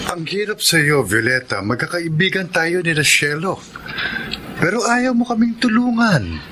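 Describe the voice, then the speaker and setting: A man's voice: fairly high pitch, lively intonation, measured pace, pushed and bright, faint music behind. An actor playing a pushy, scheming man who insistently presses a friend for a favour in a radio drama. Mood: pushy, persuasive. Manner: persuasive, insistent.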